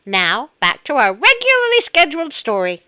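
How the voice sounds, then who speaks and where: A woman hosting a story show, telling tales in an expressive, folksy, animated way to her listeners. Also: sits high, normal speed, no echo, studio quality. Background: music.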